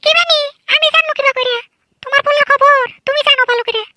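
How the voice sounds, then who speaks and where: A woman's voice: extremely high pitch, quick pace, bright, forceful tone, no echo, clean studio sound, full character voice. A woman voicing a snappish, scolding village wife and mother in a comedy cartoon, curt and blaming. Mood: irritated.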